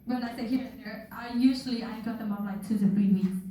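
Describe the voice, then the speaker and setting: A young woman from Thailand giving a personal testimony with slides, speaking slowly and earnestly with soft laughs, recorded from far off in a church hall. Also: on the high side, steady tone, a crowd in the background. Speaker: guest speaker. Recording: echoey hall from far off, faint noise.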